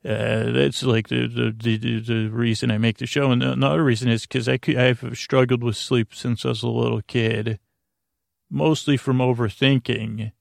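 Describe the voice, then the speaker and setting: A man with a gravelly, creaky voice delivers a soothing bedtime monologue as a podcast host. His pitch stays quite flat while he meanders gently, doubling back and stumbling over words. The sound is close and dry. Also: moderate pace, dry recording. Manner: meandering, hesitant, often repeats and trails off mid-word; soothing, lulling. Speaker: host and creator of a sleep podcast. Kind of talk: rambling bedtime monologue, talking gently to the listener.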